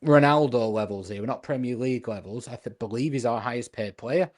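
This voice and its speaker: A man co-hosting a casual football podcast, reasoning his points out loud with frequent restarts. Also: medium pitch, brisk. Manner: earnest, reasoning aloud with restarts.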